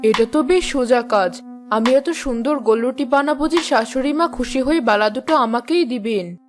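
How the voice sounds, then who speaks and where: A woman's voice: sits high, moderate pace, natural voice. A woman playing a boastful, mocking eldest daughter-in-law in a fairy-tale cartoon. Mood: smug. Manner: smug, mocking.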